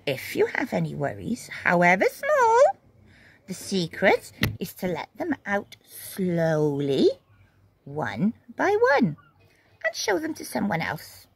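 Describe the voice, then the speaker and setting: A woman's voice: on the low side, huge pitch swings, takes it slowly, vocal fry, husky, exaggerated voice. A woman voicing a kindly, firm old lady neighbour in a children's storybook, speaking emphatically and reassuringly. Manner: emphatic, exclaiming, instructing.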